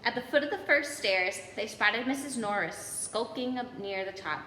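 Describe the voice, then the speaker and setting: A woman reading a children's storybook aloud in an expressive narrating style. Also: on the high side, normal speed. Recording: echoey hall, background noise.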